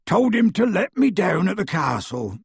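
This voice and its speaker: A man voicing a huge bearded half-giant gamekeeper who talks in rough, clipped country speech and booms his words. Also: sing-song, moderate pace, no room sound, clean studio sound, heavily acted. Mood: gruff. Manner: rustic dropped-word speech, booming.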